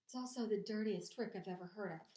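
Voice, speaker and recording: Female storybook spider, voiced by a woman reader: calm, measured, motherly but firm, with a roomy sound. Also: medium pitch, lightly acted. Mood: calm, frank. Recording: echoey room.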